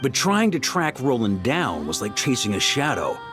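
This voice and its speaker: An actor playing a composed, scholarly young male knight in a game, calmly explaining things. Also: medium pitch, moderate pace, lightly acted. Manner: calm, articulate explainer. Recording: clear game music behind.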